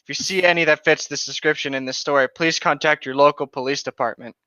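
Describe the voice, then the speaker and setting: A man's voice: on the high side, steady tone, fast talker, bright, forceful tone, dry recording. A young man joking and reading a story as co-host, on a dull lo-fi microphone. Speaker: co-host of a comedic creepypasta reading.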